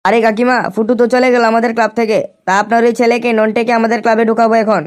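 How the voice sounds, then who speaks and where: A woman's voice: fairly high pitch, flat delivery, very fast, natural voice. A woman voicing a pushy, crude young village club leader, male, in a comic cartoon. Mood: insistent.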